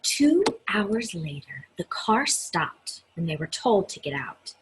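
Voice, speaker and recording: A woman with a breathy voice reads a story aloud expressively, speaking directly to young listeners and adding her own asides. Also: mid-range voice, big pitch swings, takes it slowly, rough recording. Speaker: children's story reader.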